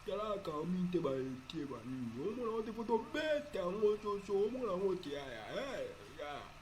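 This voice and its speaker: A man's voice: sits high, sing-song, a little fast, darkish tone, a little hiss or hum. A man voicing a restless, pushy cartoon man who talks urgently and repeats himself. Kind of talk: casual cartoon dialogue in Lingala.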